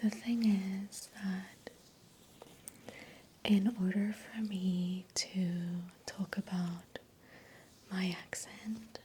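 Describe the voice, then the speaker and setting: A South African woman whose first language is Afrikaans, speaking an ASMR voiceover in a soft, gentle, unvoiced whisper into a close mic that moves from ear to ear. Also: medium pitch, steady tone, extremely slow, pauses often, slight background noise, low-quality recording. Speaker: ASMR creator. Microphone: close sensitive mic, sounds moving ear to ear.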